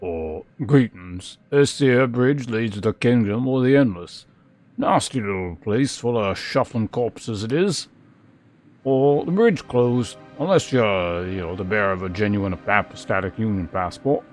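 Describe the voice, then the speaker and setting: A man voicing a gruff, dismissive border guard with a folksy, curt manner, reading video game dialogue. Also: fairly low pitch, animated, unhurried, faint music behind.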